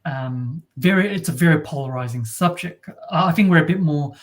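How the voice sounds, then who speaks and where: A woman from Australia with a deep voice, talking as an interview guest in a wry, halting way with many restarts. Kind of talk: casual interview talk.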